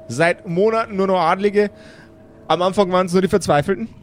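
A man's voice: sits high, a little fast, a little hiss or hum, natural voice. A man playing a chatty, irritable necromancer in improvised tabletop role-play, rambling and repeating himself in crude slang. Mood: boastful, rambling. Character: chatty, brash, irritable necromancer running a business.

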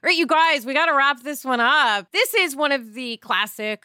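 A middle-aged woman co-hosting a podcast in casual discussion, chatty and emphatic in an animated way. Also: sits high, normal speed, dry recording, clean studio sound. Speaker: podcast co-host.

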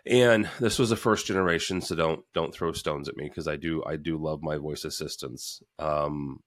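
A man's voice: very deep voice, no room sound, clean studio sound. A man with a Midwestern American accent hosting a casual panel talk, rambling with many restarts and fillers. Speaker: fill-in podcast host.

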